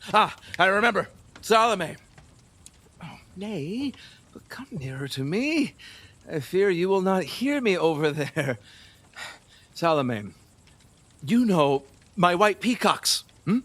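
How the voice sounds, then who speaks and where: An actor playing a lustful, fearful, superstitious middle-aged king in an audio play, husky, wheedling and erratic, with nervous laughs and halting repeats. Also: fairly high pitch, fairly bright tone, exaggerated voice. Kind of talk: theatrical audio play acting.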